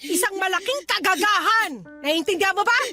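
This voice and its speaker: A woman's voice: very high voice, fairly quick, sharp tone, heavily acted. A woman playing a blunt, exasperated mother scolding her grown daughter in a radio drama. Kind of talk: Tagalog radio drama acting.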